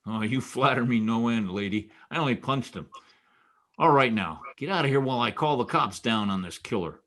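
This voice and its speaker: An actor playing a wisecracking, world-weary private detective in a noir radio drama, wry and sardonic. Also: male voice, mid-range voice, animated, normal speed, fairly bright tone, natural voice. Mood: urgent, wry. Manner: wry, sardonic, clipped tough talk. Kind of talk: old-time radio noir acting and first-person narration.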